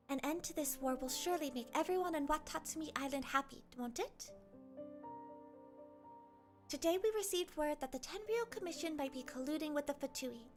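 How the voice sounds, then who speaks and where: A woman playing a dutiful, gentle young priestess leader who narrates her diary in an anime game, weary and earnest. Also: high-pitched. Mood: pensive, hopeful.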